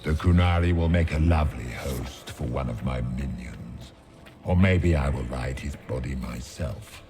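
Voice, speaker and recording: An actor playing an ancient, menacing fear demon who taunts in a mocking, condescending, deliberate way for a video game. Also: male voice, extremely low pitch, over music. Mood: cruel taunting.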